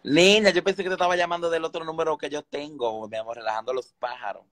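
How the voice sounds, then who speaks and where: A man's voice: a little high, fairly even intonation, fast talker, a bit bright, no echo, clean studio sound. A man in his twenties with a Dominican accent, chatting casually on a livestream from a room at home, talking into a phone at arm's length. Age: 20s. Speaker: livestreamer making prank calls. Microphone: phone held at arm's length.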